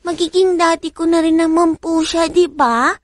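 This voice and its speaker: Very high-pitched sweet little girl. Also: fairly even intonation, dry recording, studio quality. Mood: sweet, wistful. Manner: childlike, polite, halting. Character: sweet little girl, eager obedient young daughter. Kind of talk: radio drama acting.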